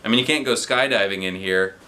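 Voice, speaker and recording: A man's voice: on the low side, fairly quick, pushed and bright. A man voicing a nervous, wisecracking schoolboy in a children's storybook, with hammy stand-up delivery. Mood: mock-incredulous, joking. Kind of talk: children's storybook read aloud.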